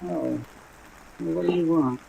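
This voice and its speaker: An actress playing a wary, curt rooming-house manager with an American accent and a gravelly voice in a 1950s radio drama. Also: female voice, low voice, unhurried, full character voice. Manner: terse, suspicious, drawling replies. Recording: muffled old radio with steady rain noise.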